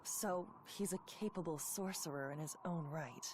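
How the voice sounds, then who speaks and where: An actress playing a serious, knowledgeable young warrior in a video game, speaking earnestly. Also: female voice, low voice, moderate pace, background noise, lightly acted. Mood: grave.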